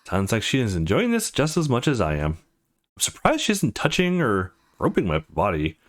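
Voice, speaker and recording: Man reading expressive, self-assured visual novel hero. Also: medium pitch, moderate pace. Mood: amused. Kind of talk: reading game narration and dialogue.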